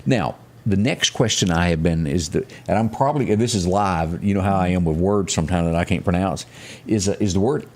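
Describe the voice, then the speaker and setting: A man hosting a live local news talk show, speaking in a halting, folksy way and repeating phrases for emphasis. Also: low voice, darkish tone. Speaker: morning show host.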